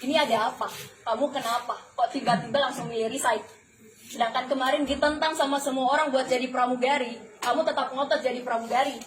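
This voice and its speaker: A woman's voice: high-pitched, fairly even intonation, quick pace. A woman in her twenties giving a motivational testimonial to an audience, speaking emphatically with rhetorical questions and repetition. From the Philippines, Malaysia and Indonesia. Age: 20s. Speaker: flight attendant giving a testimonial. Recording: echoey, noisy room.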